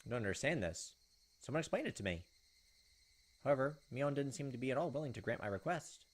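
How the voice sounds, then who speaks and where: Guy streaming a visual novel, talks quick, medium pitch, casual and reading text out loud. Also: lively intonation. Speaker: gaming video host. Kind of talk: casual playthrough commentary and reading game text aloud.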